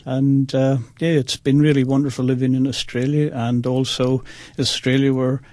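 A man's voice: medium pitch, flat delivery, normal speed, warm tone, no echo. A man in his sixties with a Northern Irish accent, telling his life story as a radio guest in an earnest, chatty way. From Northern Ireland. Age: 60s. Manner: chatty, earnest, addresses listeners directly. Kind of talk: personal testimony, casual storytelling.

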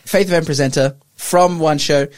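A man's voice: on the high side, fairly even intonation, moderate pace, dry recording. A male radio host who interviews a guest in a studio, upbeat and chatty, often restarting his sentences.

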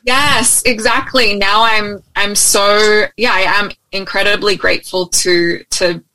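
Husky-edged young woman, Australian-American accent. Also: mid-range voice, steady tone, a little slow, sharp tone, low-quality recording. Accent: Australian with American tinge. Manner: open, friendly, with frequent ums and restarts. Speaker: guest. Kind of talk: casual interview conversation. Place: at home in Hawaii, remote call.

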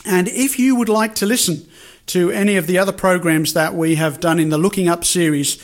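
Radio host, higher voice. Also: male voice, a little fast. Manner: relaxed, rambling with fillers.

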